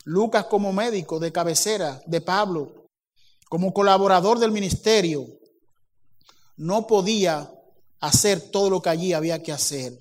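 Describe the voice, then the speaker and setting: Pastor guy preaching, high voice, gets loud and punchy on the big points. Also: moderate pace. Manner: preaching with acted dialogue and rising emphasis. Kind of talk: sermon.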